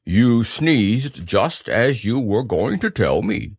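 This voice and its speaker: A man voicing a humble, slow-witted, gentle toy bear in an earnest, plodding way for a storybook. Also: lowish voice, very animated, slightly rough, no echo, studio quality, full character voice. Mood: puzzled, humble. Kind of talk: storybook character voice.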